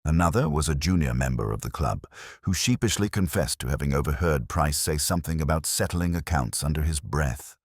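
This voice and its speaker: Deep-voiced man narrating a mystery. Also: expressive, breathy edge, dry recording, studio quality. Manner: measured, wry storytelling.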